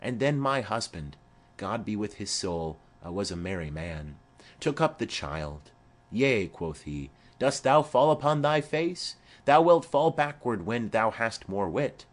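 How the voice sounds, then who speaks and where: A man's voice: lowish voice, animated, measured pace. A man voicing a garrulous, fond old female nurse in a Shakespeare play, rambling and exclamatory. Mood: rambling, reminiscing. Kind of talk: amateur-style Shakespeare play reading.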